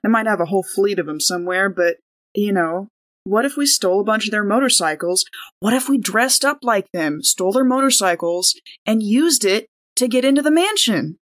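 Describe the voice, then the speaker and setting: A woman's voice: medium pitch, expressive, fairly quick. A woman playing in a tabletop roleplaying podcast, talking casually and improvising in a chatty, rambling way. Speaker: player on a tabletop game podcast. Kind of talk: casual in-game chat, improvised.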